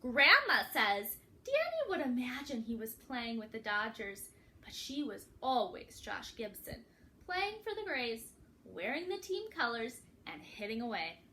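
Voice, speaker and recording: Animated, high-pitched woman reading to children. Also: measured pace, a little hiss or hum. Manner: animated, addresses listeners with questions, dramatic emphasis. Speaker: children's read-aloud storyteller. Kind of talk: expressive picture-book read-aloud with asides to young listeners.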